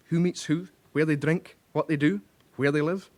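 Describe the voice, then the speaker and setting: Actor as a methodical British police collator. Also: male voice, on the high side, fairly even intonation, a little mellow, lightly acted. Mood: pleased, methodical. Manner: measured, explanatory. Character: methodical, self-satisfied male police records collator. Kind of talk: radio drama acting.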